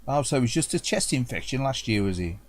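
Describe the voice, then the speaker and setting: A man, croaky and a bit under the weather, hosting a livestream and chatting loosely with viewers. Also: mid-range voice, sing-song, fast talker. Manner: rambling, chatty with restarts. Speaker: livestream host. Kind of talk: casual chat with viewers. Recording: game music playing behind.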